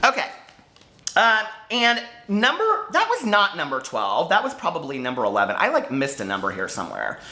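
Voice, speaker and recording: A man in his forties chatting casually and excitably to viewers as a book vlogger, gushing and a little campy. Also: fairly high pitch, moderate pace. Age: 40s. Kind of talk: casual chat to viewers, book tag video.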